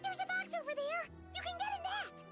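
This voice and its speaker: A woman voicing a tiny, eager, childlike fairy companion in a video game, excitable and squeaky. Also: fairly quick, loud music behind the voice. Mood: alarmed.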